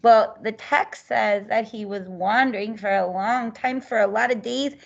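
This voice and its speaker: Lady acting like a curious eager school kid, kinda high creaky voice, simple guesses, muffled lo-fi audio. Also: unhurried. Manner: simple childlike guesses and questions. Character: young pupil following a read-aloud, eager and curious. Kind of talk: reading lesson role-play. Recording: dull lo-fi recording.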